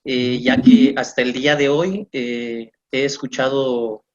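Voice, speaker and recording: A man's voice: mid-range voice, fairly even intonation, slow pace, darkish tone, dull audio. A man taking part in a class, politely and somewhat hesitantly putting a question to the teacher. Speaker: class participant.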